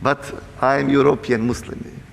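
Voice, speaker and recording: A man from Bosnia with a Bosnian accent, an imam speaking English as a second language at a university seminar, earnest and halting, often repeating words as he restarts. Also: mid-range voice, fairly even intonation, vocal fry, slight background noise. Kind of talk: seminar talk. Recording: long hall reverb.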